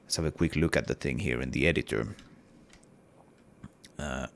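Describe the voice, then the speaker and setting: Deep gravelly man with a cold. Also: normal speed. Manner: hesitant, many fillers and restarts. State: has a cold, voice partly lost. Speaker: indie game developer. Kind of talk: casual devlog walkthrough.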